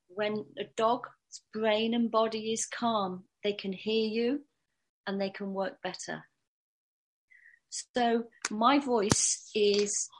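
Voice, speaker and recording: A woman dog trainer teaching a workshop in a calm, steady voice that she pushes from the diaphragm. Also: mid-range voice.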